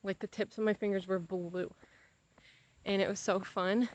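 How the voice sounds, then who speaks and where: A woman's voice: medium pitch, level tone, moderate pace, a little mellow, no echo. A young American woman chats casually while walking outdoors, rambling with playful exaggeration and easily sidetracked. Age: young adult. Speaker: vlogger.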